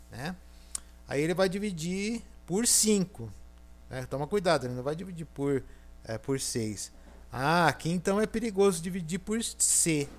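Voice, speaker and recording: A man giving a recorded university lecture with a Brazilian Portuguese accent, thinking aloud and checking in with frequent fillers. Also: a little high.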